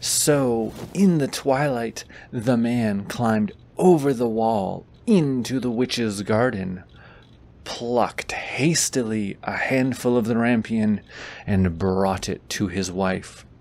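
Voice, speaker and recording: A man's voice: medium pitch, takes it slowly, a little mellow. A man in a boyfriend audio roleplay, reading a bedtime story aloud with goofy, teasing casual asides.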